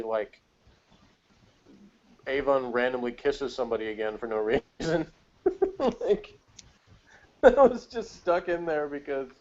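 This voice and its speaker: A man's voice: medium pitch, a little mellow. An American man chatting casually as co-host of a TV review, in a wry, joking manner. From United States. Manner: wry, joking, often chuckling. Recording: dull, lo-fi audio with clear noise.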